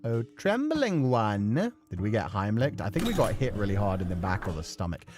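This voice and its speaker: A let's play host giving animated, slangy game commentary with casual swearing. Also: deep voice, fast talker, over music.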